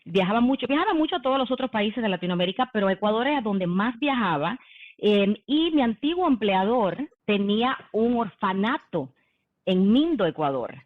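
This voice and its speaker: A woman's voice: mid-range voice, expressive, normal speed. A woman in her forties, from the Dominican Republic, telling her own story in a leadership talk on a video call, with many "em" and "eh" fillers. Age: 40s. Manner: warm conversational, frequent "em" and "eh" fillers. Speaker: company executive giving a leadership talk. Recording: phone-like online meeting audio.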